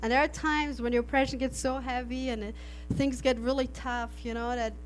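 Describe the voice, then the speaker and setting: Middle-aged austrian woman, german native, telling her mission story, slightly high voice, faint hum behind. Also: fairly even intonation, brisk, poor audio. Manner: earnest, laughs often, restarts phrases. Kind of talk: testimony to an audience.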